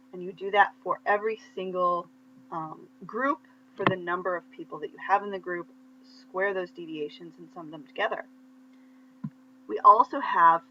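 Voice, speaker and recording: Female instructor, crisp forward tone, patient stepwise lesson delivery, dull recording with faint background hum. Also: fairly low pitch, sharp tone. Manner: step-by-step explaining with check-in questions. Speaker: statistics lecturer. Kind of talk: conversational instructional explanation of slides. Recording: muffled lo-fi audio with faint hum.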